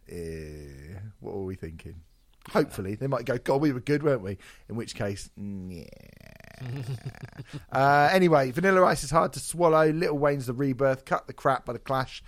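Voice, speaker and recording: A man hosting a casual music podcast, jokey, sweary and self-deprecating, his voice a little hoarse from a sore throat. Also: mid-range voice, dry recording. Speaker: podcast host.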